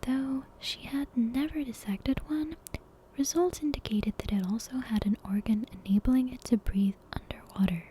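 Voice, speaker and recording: A woman reading a bedtime story as ASMR in a soft, breathy, unvoiced whisper, with hushed and deliberate narration. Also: high-pitched, slight background noise, rough recording. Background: soft game soundtrack music.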